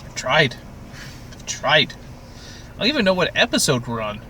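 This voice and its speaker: A man's voice: a little high, very animated, normal speed, sharp tone. A man co-hosting a podcast, joking and rambling through casual, sweary TV recap chat. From Canada. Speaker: podcast co-host. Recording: clear background noise.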